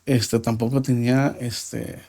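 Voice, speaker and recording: A man from Mexico with a Mexican accent, a podcast guest telling his life story in a reflective, halting way with frequent pauses. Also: medium pitch, level tone, warm tone. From Mexico (Nuevo Laredo). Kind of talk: personal testimony in a podcast interview.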